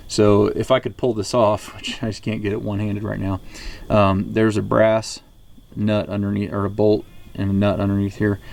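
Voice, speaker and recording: A man's voice: on the low side, dark tone. An American man giving a casual, unscripted gear review in a rambling, matter-of-fact way, recorded on a handheld camera. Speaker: presenter of gear review videos. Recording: clear background noise, handheld camera audio.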